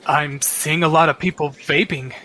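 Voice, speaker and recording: An actor playing a nervous young office temp in a radio play, hesitant and halting. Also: male voice, fairly high pitch, fairly even intonation, bright, forceful tone. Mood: awkward.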